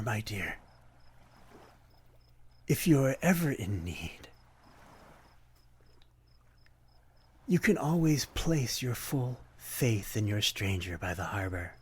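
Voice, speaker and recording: A man's voice: lowish voice, husky. A man playing a lonely, courteous vampire in an ASMR roleplay, speaking in a formal, poetic and brooding way. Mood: gentle farewell. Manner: formal, archaic, poetic phrasing, gentle and brooding. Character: lonely, courteous, melancholy male vampire stranger by a harbor. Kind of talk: ASMR roleplay, slow theatrical monologue.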